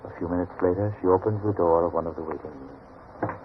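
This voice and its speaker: An actor playing a solemn, ominous narrator of eerie tales, with dramatic, measured 1940s radio suspense delivery. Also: male voice, sits low, background noise, natural voice. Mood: ominous, hushed. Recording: narrow-band old radio broadcast.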